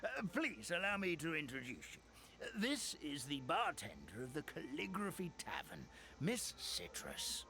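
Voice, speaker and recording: A theatrical old grandpa creature from a fantasy game, fretting over his tavern with kindly fuss. His voice is bright and swoops through a very wide pitch range, a little higher than most men. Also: huge pitch swings, a little slow, gravelly, full character voice. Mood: warm. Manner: fretful, theatrical exclamations. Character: elderly male almond-like creature, fussy and kindly. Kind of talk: game character dialogue.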